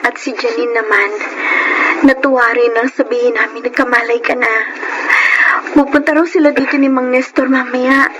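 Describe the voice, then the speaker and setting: Actress as caring younger sister, Filipino accent, encouraging, high voice, noisy radio recording. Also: female voice, fairly even intonation, normal speed, natural voice. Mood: glad. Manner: affectionate, encouraging. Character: caring, teasing younger sister of a grown man. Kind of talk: Filipino radio drama acting.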